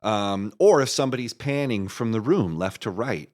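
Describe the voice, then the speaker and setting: Male interview guest, lower-pitched voice, casual and enthusiastic, explains his craft like a keen storyteller. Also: lively intonation, moderate pace, darkish tone. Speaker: interview guest, audio drama creator.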